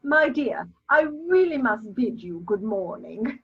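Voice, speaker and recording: A woman's voice: fairly high pitch, big pitch swings, breathy edge, heavily acted. An actress playing a haughty elderly society mother in a comedy of manners, grand, plaintive and disapproving, on a muffled recording. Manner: grand, lamenting, drawn-out delivery. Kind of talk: stage comedy of manners, dramatic reading.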